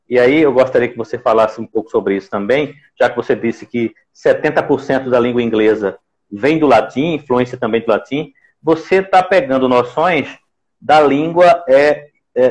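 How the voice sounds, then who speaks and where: Didactic Recife man hosting a live stream. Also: medium pitch. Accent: Recife, northeastern Brazilian. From Pernambuco, Brazil. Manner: didactic, repeats phrases for emphasis. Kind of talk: conversational interviewing on a live stream. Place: indoor room at home. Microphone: earphone mic on a video call.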